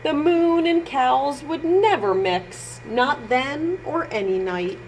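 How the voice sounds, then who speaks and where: Animated, high-voiced woman reading to children. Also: a little hiss or hum, low-quality recording. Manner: sing-song, exaggerated emphasis, pauses to comment on pictures. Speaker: children's librarian storytime reader. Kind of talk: expressive read-aloud for young children.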